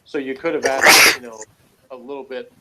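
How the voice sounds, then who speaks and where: A man's voice: mid-range voice, fairly even intonation, moderate pace, bright, forceful tone, poor audio. Man in rambling, analytical casual discussion. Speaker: co-host reviewing a TV series.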